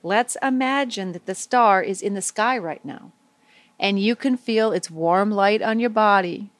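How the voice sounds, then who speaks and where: A woman leading a guided visualization for young children, speaking in simple, soothing phrases with gentle pauses. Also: medium pitch, no echo.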